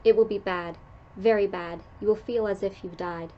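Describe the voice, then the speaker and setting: A woman reading aloud who voices an older female palm reader, grave and ominous, in slow, solemn fortune-telling tones. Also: mid-range voice, steady tone, highs cut off, lightly acted.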